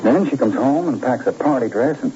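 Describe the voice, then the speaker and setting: A man voices a tough, brisk police detective in a vintage radio crime drama, questioning in a no-nonsense way. He talks fast, and the old broadcast sounds dull and heavy with hiss. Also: mid-range voice, expressive, warm tone, close to an everyday voice. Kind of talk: 1940s radio acting.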